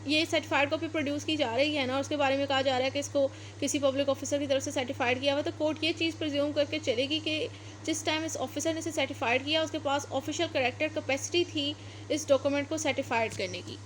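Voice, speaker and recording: A woman's voice: high-pitched, steady tone, fast. A woman with a Pakistani accent giving an explanatory law lecture, reading out passages and going over them step by step. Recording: noticeable background noise.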